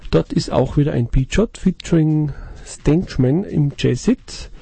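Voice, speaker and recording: Gravelly dry-voiced radio host, man. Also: a little high, measured pace, warm tone, no echo. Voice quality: gravelly, husky. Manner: stumbling, loses his thread. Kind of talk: casual radio chat, reading event listings. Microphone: dry studio mic.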